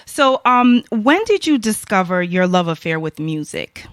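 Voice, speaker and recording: A woman from the United States hosting a casual podcast interview, excitable and gushing, with many fillers. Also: medium pitch, a little slow, dry recording, studio quality. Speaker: podcast host.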